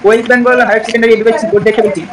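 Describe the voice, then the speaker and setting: High-pitched, rapid young man, hesitant. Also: level tone, a crowd in the background. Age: young adult. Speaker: prank caller. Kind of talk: improvised prank phone call in casual chat. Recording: distant mic, faint background noise.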